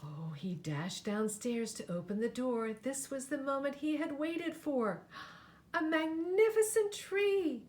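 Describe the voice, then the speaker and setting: A woman reading a picture book aloud to children in an expressive, dramatic way. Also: fairly high pitch, a little slow, hardly any pauses, slight background noise. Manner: animated, dramatic, with asides to listeners.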